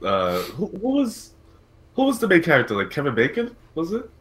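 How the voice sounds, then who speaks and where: A man hosting a casual video game podcast, talking in a halting, rambling way and often repeating his words. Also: a little high, wildly swooping pitch, fairly bright tone. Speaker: podcast host.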